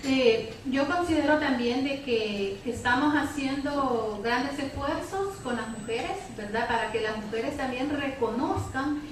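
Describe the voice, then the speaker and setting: A woman's voice: high-pitched, unhurried. A woman activist speaking on a public debate panel, conversational and often ending phrases with tag questions. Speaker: women's organization activist, panelist. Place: public debate hall with audience. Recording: very echoey hall, distant mic, faint noise.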